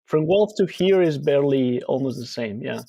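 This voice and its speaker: A man from Argentina in his thirties, a researcher answering interview questions in a relaxed, explanatory way with frequent fillers. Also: mid-range voice, normal speed, gravelly, dry recording. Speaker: guest researcher.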